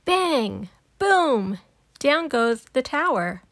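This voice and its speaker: Female storybook reader, slow and high, animated read-aloud for little kids, dry sound. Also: no echo. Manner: animated, emphatic on sound-effect words. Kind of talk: expressive read-aloud narration for young children.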